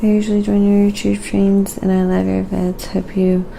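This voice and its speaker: Very creaky woman murmuring while she paints. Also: medium pitch, completely flat delivery, moderate pace, mellow, a little hiss or hum, rough recording. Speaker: live-streaming painter and host. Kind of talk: quiet ASMR painting livestream chat.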